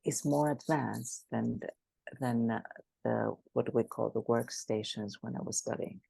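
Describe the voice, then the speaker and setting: Woman, gravelly and husky, mellow dark tone, dry close sound, emphatic and animated. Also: rumbling low, a little slow, no room sound, poor audio. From Greece. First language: Greek. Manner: frequent restarts, animated and emphatic. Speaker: guest expert. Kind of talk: conversational interview.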